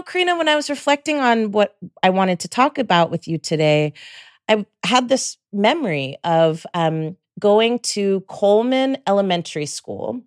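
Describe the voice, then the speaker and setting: A woman's voice: lowish voice, no room sound. Reflective woman host, dry close sound. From San Francisco Bay Area. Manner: reflective, laughs often, frequent "right?" asides. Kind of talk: conversational interview.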